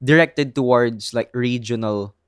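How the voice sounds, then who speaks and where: A man's voice: mid-range voice, a little slow, clean tone, no echo, clean studio sound. A man with a Filipino English accent, chatting casually and giving opinions with lots of "like" fillers. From Philippines. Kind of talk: casual chat.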